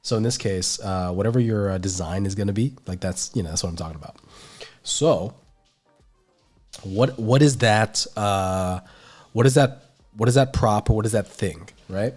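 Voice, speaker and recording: A man's voice: lowish voice, normal speed, darkish tone, faint music behind. A man with a stuffy, nasal voice, teaching an art lesson on a livestream in a rambling way full of fillers. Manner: rambling, filler-heavy explaining. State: stuffy nose. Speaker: art instructor.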